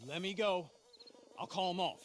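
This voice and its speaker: A man's voice: high-pitched, normal speed, fairly bright tone, a little hiss or hum. A man playing a haughty, cowardly heir of fallen gentry in a dramatic game cutscene. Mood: nervous bargaining.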